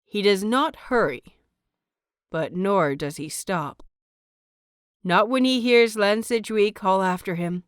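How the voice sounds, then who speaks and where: Woman narrator for audio fiction: smooth, slow-paced, deliberate, with dramatic pauses. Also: medium pitch, no echo, clean studio sound. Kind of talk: scripted dramatic narration of a story.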